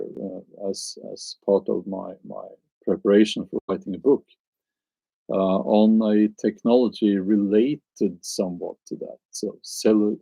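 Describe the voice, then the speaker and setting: A man's voice: fairly low pitch, gravelly, mellow, dry recording, low-quality recording. A man with a Norwegian accent, chatting on a live stream and answering his viewers, hesitant and musing as he searches for words. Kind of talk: casual live stream chat.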